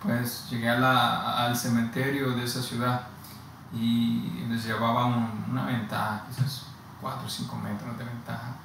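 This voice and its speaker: A man in his thirties from Honduras, speaking with a Honduran Spanish accent, giving a personal testimony slowly and haltingly, with many restarts. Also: medium pitch, flat delivery, creaky, a bit bright. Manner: slow, halting, with frequent restarts and repeated words. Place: plain indoor room against a bare wall. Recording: very echoey room, distant mic, audible hiss.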